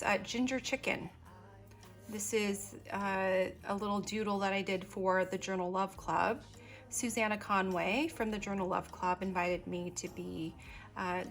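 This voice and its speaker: A woman chatting casually as she shows her desk items, unhurried and full of ums and little asides while she works. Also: medium pitch, a bit bright. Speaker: stationery vlogger. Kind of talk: casual show-and-tell narration.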